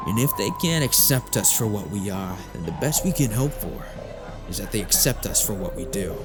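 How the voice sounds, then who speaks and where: An actor playing a hardened exorcist warrior, a blunt mentor who speaks in a weighty, lecturing way in an audiobook drama. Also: male voice, on the low side, lively intonation, normal speed, loud music behind the voice, natural voice. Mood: somber, consoling.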